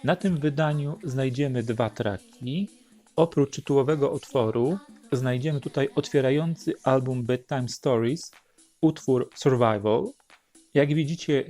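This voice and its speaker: A man narrating an unhurried show-and-tell as host, in a measured, explanatory way. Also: mid-range voice, darkish tone. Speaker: host presenting a record collection.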